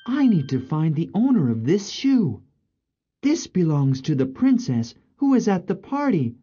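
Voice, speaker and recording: A woman's voice: on the low side, big pitch swings, unhurried, mellow, breathy edge, pitched above the performer's own voice, heavily acted. A woman voicing an earnest, determined young male prince in a fairy tale, with exaggerated declamatory delivery. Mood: resolute. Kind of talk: storybook character acting. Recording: dull lo-fi audio, some noise.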